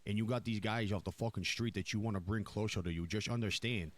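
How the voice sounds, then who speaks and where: A man's voice: fairly low pitch, fast talker, dry recording, lightly acted. A man playing a demanding, foul-mouthed mafia boss in improvised crime role-play, speaking emphatically and repeating himself as he probes. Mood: stern, commanding. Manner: emphatic, repetitive, probing questioning.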